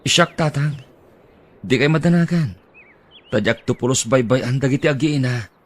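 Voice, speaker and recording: A man's voice: mid-range voice, normal speed, husky, close to an everyday voice. A man voicing an earnest husband and son in an audio drama, talking in an urging, reassuring manner. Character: dutiful middle-aged husband and son, earnest.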